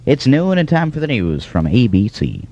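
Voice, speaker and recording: A man's voice: medium pitch, big pitch swings, normal speed, warm tone. A man working as a country radio announcer, reading a station identification. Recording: dull radio aircheck, faint music.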